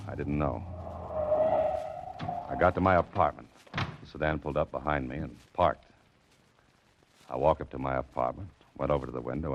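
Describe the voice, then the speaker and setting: An actor playing a hard-boiled private detective, narrating in terse, wry 1940s radio style. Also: male voice, a bass voice, highs cut off, background noise.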